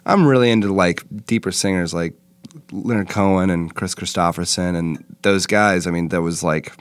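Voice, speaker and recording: A man's voice: sits low, moderate pace, clear, resonant tone, no room sound. A young man, a rock singer, chatting loosely in an interview with many fillers and restarts. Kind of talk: casual interview chat.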